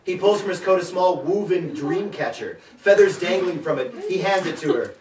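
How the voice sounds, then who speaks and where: A man's voice: high voice, lively intonation, moderate pace, darkish tone. A man reading stage directions aloud in a live comedic script reading, playful, often stumbling and breaking into asides. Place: echoey room with an audience. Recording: echoey room, distant mic, audience noise.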